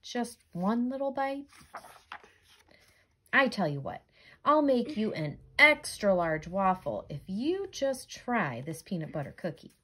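Woman playing an earnest, animated jellyfish. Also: medium pitch, slow, breathy edge, exaggerated voice. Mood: coaxing. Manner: questioning, incredulous, coaxing. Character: earnest, exasperated jellyfish friend of a narwhal.

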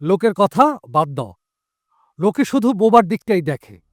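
A man's voice: sits high, big pitch swings, normal speed, darkish tone, no room sound. A man playing a tender, protective father with an earnest, emphatic delivery in an audio drama. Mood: protective indignation. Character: tender, protective father defending his mute daughter. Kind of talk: Bengali audio drama acting. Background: background music.

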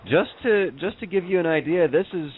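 A young man co-hosting an interview podcast, chatting casually and haltingly, repeating words as he goes. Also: sits high, a little hiss or hum. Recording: thin phone-like call audio.

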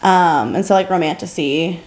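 A woman's voice: fairly low pitch, normal speed, a little mellow. An American woman in her twenties talking informally as a podcast co-host. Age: 20s. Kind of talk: casual bookish chat. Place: living room couch, indoors.

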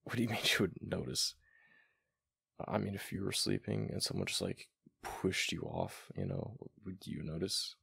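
A man voicing a flustered, affectionate young gamer boyfriend in a boyfriend ASMR roleplay, with a deep, gravelly, halting murmur. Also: sharp tone, dry recording, heavily acted. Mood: flustered, sheepish.

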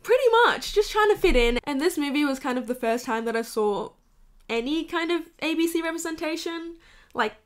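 A woman, talking candidly and swearing freely in a bedroom to her camera. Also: high-pitched. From Hong Kong. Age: 20s. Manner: candid, swears freely, laughs through emotional bits. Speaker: vlogger. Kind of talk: casual confessional chat to camera.

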